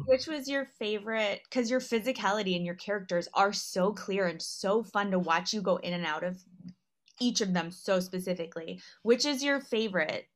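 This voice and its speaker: A woman with a medium-pitched voice speaks casually as a participant in a discussion. Her delivery is conversational, as in informal questions and comments. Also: moderate pace.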